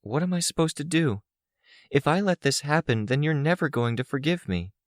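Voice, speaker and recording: Man doing a pleading young woman, high pitch. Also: big pitch swings, moderate pace, no echo, clean studio sound, close to an everyday voice. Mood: pleading, insistent. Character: pleading, forlorn yet stubborn young woman.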